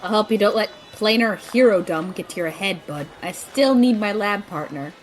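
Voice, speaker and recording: A woman's voice: medium pitch, normal speed, soft background music, natural voice, poor audio. A woman voicing a wry, cautious young student sidekick in an audio drama, dry and teasing. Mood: dry, wary.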